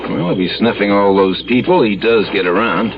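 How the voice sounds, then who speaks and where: A man acts out a dogged police lieutenant from a radio crime drama. His voice is deep, and he fires off questions in a blunt, clipped, insistent manner. The recording is muffled, with clear noise behind it. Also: a little fast, lightly acted. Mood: brusque. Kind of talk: old-time radio crime drama acting.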